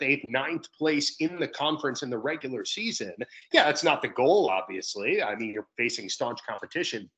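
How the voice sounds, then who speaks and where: A man's voice: mid-range voice, a bit bright, rough recording. A young man, a sports commentator, talking through his points conversationally as a guest on a video-call interview. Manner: talkative, explanatory. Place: indoor room at home, video call.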